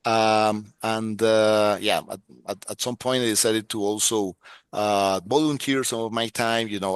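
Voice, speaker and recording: Halting Ecuadorian man, smooth dry voice. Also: fairly low pitch, fairly bright tone, dry recording. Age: middle-aged. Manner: halting, many restarts and fillers. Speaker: guest, security expert. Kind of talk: casual interview talk.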